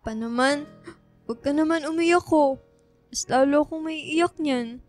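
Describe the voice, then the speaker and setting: An actress playing an affectionate, dutiful college-age daughter in a radio drama. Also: female voice, sits high, a little slow, darkish tone. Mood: tender. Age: young adult. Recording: music behind the voice.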